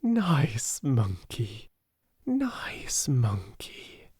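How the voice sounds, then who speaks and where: A British man voicing a kind, imaginative young girl in a storybook, soft, coaxing and tender with animals. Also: medium pitch, huge pitch swings, very slow, husky, no echo, clean studio sound, exaggerated voice. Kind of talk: storybook character voice.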